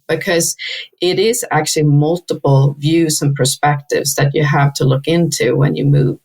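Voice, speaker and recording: Husky low Swedish-accented woman. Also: a little mellow. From Sweden. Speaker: sustainability partner.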